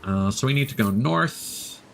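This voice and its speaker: A man chatting casually as he livestreams a game, candid and reflective, in a halting, rambling way that often doubles back to correct itself. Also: mid-range voice, lively intonation, gravelly, a bit bright, soft background music. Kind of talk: casual streamer chat while gaming.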